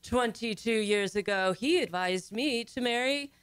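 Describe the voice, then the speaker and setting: A woman's voice: a little high, unhurried, smooth, no room sound, lightly acted. A woman acting a bossy, gushing middle-aged society wife in an old-time radio comedy, imperious and condescending.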